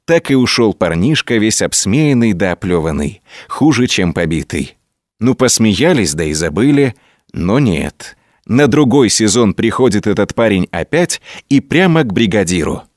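A man narrating a scripted folk tale in a folksy, colloquial, expressive way. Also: fairly low pitch, normal speed, dry recording, studio quality. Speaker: storyteller.

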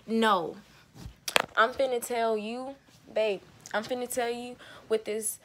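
A woman's voice: on the high side, steady tone, slow, a bit bright. A young woman vlogging casually to a phone at arm's length, playful and teasing, in a car and then a small room. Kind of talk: casual chat to camera. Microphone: phone camera at arm's length.

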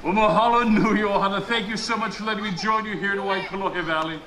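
A man from Hawaii performing live as a storyteller, telling a legend theatrically with dramatic pauses and drawn-out emphatic words. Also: high voice, fairly even intonation. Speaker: resort storyteller. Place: outdoor poolside deck at a resort. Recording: roomy, noisy outdoor live recording.

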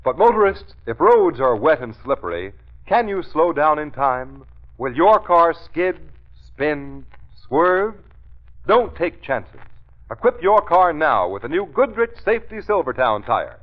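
High-pitched, animated male radio announcer. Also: unhurried. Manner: emphatic, salesmanlike. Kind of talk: 1930s radio advertising pitch. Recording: muffled 1930s radio recording.

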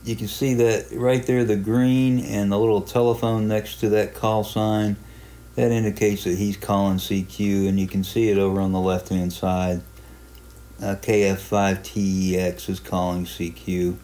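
A man giving a casual, unhurried tutorial narration, explaining a hobby software program step by step in plain terms. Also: fairly low pitch, level tone. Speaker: amateur radio hobbyist presenting a software walkthrough.

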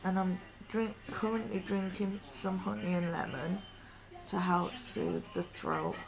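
Young woman, hoarse sore-throat voice, real gravelly, slow rambling chat to her laptop webcam at home. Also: mid-range voice, steady tone, poor audio. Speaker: vlogger. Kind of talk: casual home vlog. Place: living room at home.

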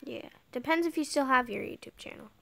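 A woman's voice: sits high, expressive, a little fast, vocal fry, a little hiss or hum. A young girl giving a casual tour in a game on a handheld device, chatting and often dropping to a whisper. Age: child. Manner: chatty, drifts into whispers while playing. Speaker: channel owner giving a game house tour. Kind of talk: casual gameplay commentary.

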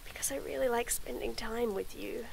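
A woman's voice: fairly high pitch, creaky, a little hiss or hum, poor audio. A woman voicing a shy stammering tomboy friend. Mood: nervous. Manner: stammering, halting, soft. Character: shy tomboy female friend, young adult, nervous and sweet. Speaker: voice actress. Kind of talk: scripted audio roleplay.

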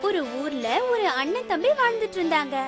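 Very high-pitched clever cartoon girl. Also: expressive, fairly quick. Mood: reassuring, confident. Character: clever, reassuring young girl storyteller, a dutiful daughter. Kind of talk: cartoon dubbing. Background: loud music.

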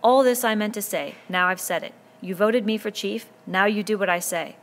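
A woman's voice: medium pitch, fairly quick, a bit bright, slight background noise, lightly acted. A woman reading a novel aloud, voicing an earnest, commanding boy chief who pleads and insists as he lays down rules. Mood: urgent.

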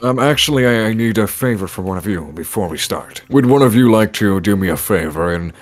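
A man's voice: fairly low pitch, animated, a little fast. A man voicing a bossy, grumpy teacher who gives curt orders, with music behind.

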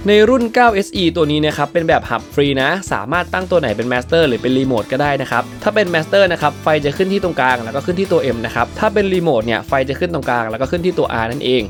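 A man narrating a product review in an explanatory way, with frequent polite particles. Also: medium pitch. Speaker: tech reviewer and production crew member. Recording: background music under the voice. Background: background music.

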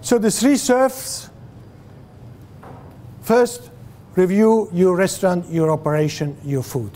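Swiss guy in his 60s giving a class talk, slow, lots of uhs, picked up by a far camera. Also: high voice. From Switzerland. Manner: animated, gesturing, many fillers and restarts, asks the audience questions. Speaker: guest lecturer. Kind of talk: guest lecture to students. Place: classroom with a screen, indoors. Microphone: far camera, no mic seen.

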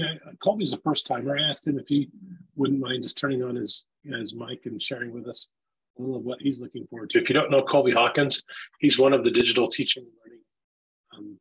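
A man with a rough, gravelly voice hosts an online session for teachers. He talks loosely with many fillers, and the call audio cuts in and out. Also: mid-range voice, a bit bright, rough recording. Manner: loose, filler-heavy, friendly instructions. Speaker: webinar presenter for teachers. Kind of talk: casual online presentation. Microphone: video call. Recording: video call audio, volume dropping in and out.

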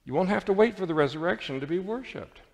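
Man voicing a coaxing devil, raised pitch, hall reverb. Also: animated, fast talker, creaky. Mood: urgent coaxing. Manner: insistent, theatrical coaxing. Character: sly, coaxing male devil tempting grandly.

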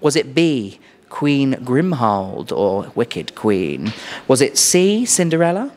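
A young adult man with a British accent hosting a playful quiz interview in an animated, exclaiming way into a handheld microphone. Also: medium pitch, takes it slowly. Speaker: host, quizmaster. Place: small furnished indoor room.

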